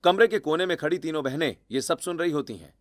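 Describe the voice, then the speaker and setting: A man's voice: on the high side, lively intonation, quick pace, no room sound, studio quality. A man narrating a scripted cartoon family story.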